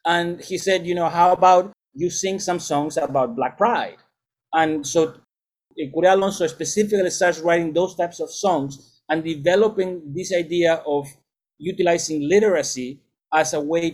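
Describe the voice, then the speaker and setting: Middle-aged male music teacher, slightly high voice, measured and explanatory, restarts often. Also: a little mellow. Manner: thoughtful, lecturing, with frequent restarts. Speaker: music educator and salsa band leader. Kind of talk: explanatory interview answers.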